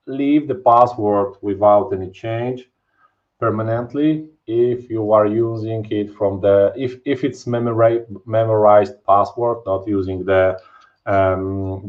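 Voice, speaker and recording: A man in his thirties with a Polish accent, presenting a live technical webinar from home over a webcam, explaining casually with many fillers and tag questions. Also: fairly low pitch. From Poland. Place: home office, indoors. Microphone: webcam video call. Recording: dull, lo-fi stream audio.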